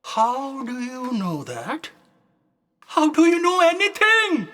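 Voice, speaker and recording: A woman playing an excitable, skeptical fact-checker with an exclamatory, sardonic manner in a comic sci-fi audio drama. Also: high voice, slow pace, exaggerated voice. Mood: agitated.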